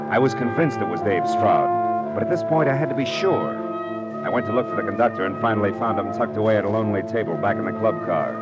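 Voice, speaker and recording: An actor playing a wry, world-weary private detective in a hardboiled 1940s radio drama, with dry, clipped, sardonic delivery. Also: male voice, on the low side, natural voice, low-quality recording. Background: music.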